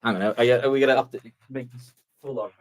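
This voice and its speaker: A man's voice: medium pitch, fairly even intonation, fast talker. A Swedish man, a native Swedish speaker, chatting casually as a guest on a live stream, with frequent stops and restarts. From Sweden.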